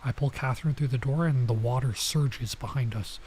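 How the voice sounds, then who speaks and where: A man's voice: mid-range voice, normal speed, darkish tone. Casual man streaming over noticeable background noise. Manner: casual, reacts with asides and stumbles over lines. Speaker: streamer playing and reading a visual novel. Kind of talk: let's play, reading game text aloud with casual commentary.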